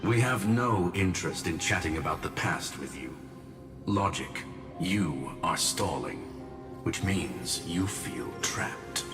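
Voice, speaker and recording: An actor playing a cold, terse, analytical adversary in a video game. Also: male voice, sits low, expressive, takes it slowly, heavily acted. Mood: cold, dismissive. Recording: echoey, music behind.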